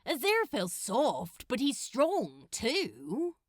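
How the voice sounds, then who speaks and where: A woman's voice: high-pitched, huge pitch swings, slow pace, breathy edge, no echo, clean studio sound, full character voice. A woman voicing a worried, frustrated male demon in a storybook reading, protective and troubled. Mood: worried frustration.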